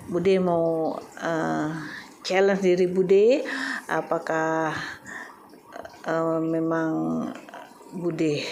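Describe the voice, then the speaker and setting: A middle-aged woman from Indonesia with a Javanese accent, chatting casually to her viewers and pausing often with "eh". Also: fairly low pitch, a little mellow, slight background noise. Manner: hesitant, many filler "eh" pauses. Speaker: vlogger.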